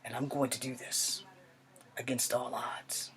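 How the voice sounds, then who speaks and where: Clear background noise sits under a man's performance of a young male soldier speaking to an elder. He is solemn and proud, in a mid-range voice that is hushed and halting. The delivery is an earnest dramatic monologue. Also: medium pitch, little pitch movement, close to an everyday voice. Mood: pleading, proud.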